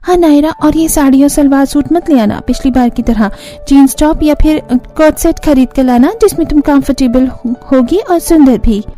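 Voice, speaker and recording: A woman's voice: high voice, moderate pace, warm tone, voice pushed up, lightly acted. A woman playing a caring, protective middle-aged mother-in-law in a cartoon family story. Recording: music bed under the voice.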